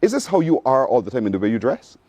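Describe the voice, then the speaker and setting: A Barbadian man hosts a morning TV show with a lively, swooping pitch and a mellow, warm tone. He stays upbeat and encouraging and echoes his guest's answers, and the studio sound is dry and close. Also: quick pace. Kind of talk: TV interview.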